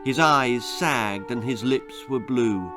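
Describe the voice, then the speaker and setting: A man tells a children's tale at a slow, measured pace. His delivery is dramatic, in a mid-pitched voice, with soft music underneath. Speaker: author reading his own story.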